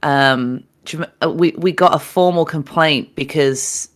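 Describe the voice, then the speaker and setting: A young woman from England co-hosting a casual podcast, talking loudly and excitably, set farther from the mic than her co-host. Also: low voice, unhurried. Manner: loud, excitable, with frequent laughs.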